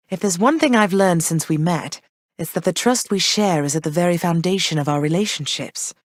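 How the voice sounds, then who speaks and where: An actress voicing a gentle, motherly goddess queen of the underworld, speaking warmly and graciously in game voice lines. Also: on the low side, dry recording, natural voice. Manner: warm, gracious, softly reassuring. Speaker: voice actor. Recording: dry studio voice recording.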